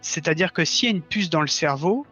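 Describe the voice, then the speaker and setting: A man's voice: a little high, expressive, brisk, sharp tone, husky, faint music behind. A man speaking as a guest in a conversational interview, explaining his views earnestly. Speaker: interview guest, audio fiction writer.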